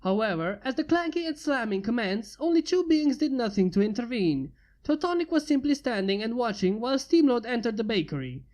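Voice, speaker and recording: A woman narrating fanfiction in a plain, steady amateur reading. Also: fairly high pitch, a little fast. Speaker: fanfiction reader.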